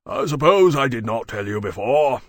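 A man voicing a gruff, exasperated, blustering male military officer in an audiobook. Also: mid-range voice, lively intonation, moderate pace, dry recording, studio quality, close to an everyday voice. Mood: gloomy. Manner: shouty, cursing, blustering.

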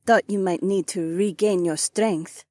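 A woman voicing cheeky, teasing young women in audiobook dialogue, with a breathy voice. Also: medium pitch, sing-song, normal speed, darkish tone, no room sound, clean studio sound. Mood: playful.

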